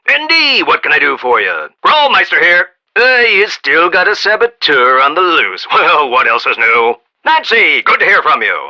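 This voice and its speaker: A man's voice: sits high, pushed and bright, heavily acted, poor audio. A man playing a busy, eccentric inventor and boss in a video game, brash, jokey and teasing in a hammy way.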